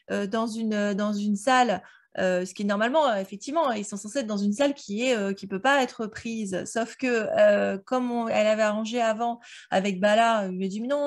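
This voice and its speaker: Woman chatting casually, rambling storyteller. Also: fairly high pitch. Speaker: book club podcast co-host. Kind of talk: casual discussion of an audio drama.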